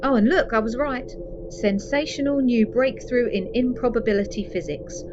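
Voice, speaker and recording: An actress playing a curious, chatty space hitchhiker in a science fiction radio comedy. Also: female voice, a little high, normal speed, natural voice. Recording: muffled, noisy amateur recording.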